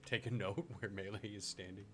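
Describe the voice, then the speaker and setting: Man, low pitch, bright, halting, casual game chat, faint noise behind. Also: steady tone. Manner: halting, searches for words aloud. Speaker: guild member in voice chat.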